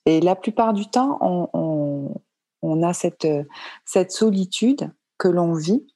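Low, mellow woman in her fifties. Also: expressive, slow, no room sound, studio quality. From France. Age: 50s. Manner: earnest, repeats words and hesitates. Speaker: coach, guest expert. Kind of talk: interview answers.

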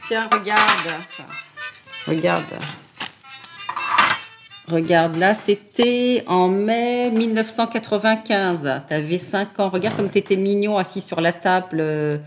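A woman's voice: medium pitch, slow pace, over music. A middle-aged woman reminiscing casually, repeating her words as she searches for them.

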